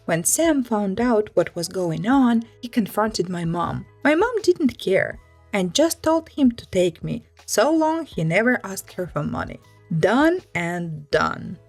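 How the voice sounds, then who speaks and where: A woman's voice: mid-range voice, big pitch swings, takes it slowly, a little mellow, soft background music. A woman narrating a scripted first-person story, reading it aloud.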